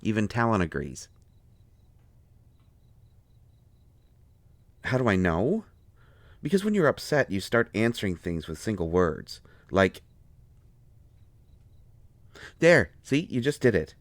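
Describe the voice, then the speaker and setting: Guy acting as a flustered male royal doctor in a fantasy roleplay, lowish voice, super dry sound, protective, exasperated, kinda defensive. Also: very animated, moderate pace, dry recording. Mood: exasperated, teasing. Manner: pleading, exasperated, swinging from soft explaining to flustered outbursts. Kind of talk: dramatic audio roleplay, one side of a conversation.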